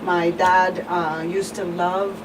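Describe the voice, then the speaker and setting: A woman's voice: fairly low pitch, flat delivery, takes it slowly. Woman interview guest, distant and noisy. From US Virgin Islands. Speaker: guest psychologist. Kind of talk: interview conversation.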